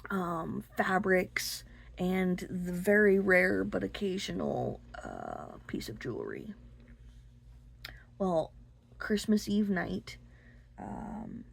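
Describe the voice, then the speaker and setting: A husky voice with plenty of fry, belonging to a woman who takes her time. She talks about books as a host, unscripted and halting, often pausing partway through a phrase. The pitch moves around a good deal even though the delivery is very slow. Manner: halting, gentle pauses mid-phrase. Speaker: book review host. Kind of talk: unscripted book talk to listeners.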